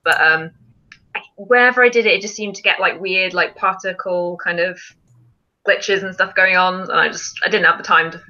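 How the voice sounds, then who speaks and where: A woman's voice: lowish voice, steady tone, moderate pace, sharp tone, dull audio. A woman hosting a casual live stream, chatting and explaining things in an informal way. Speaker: film challenge live stream host.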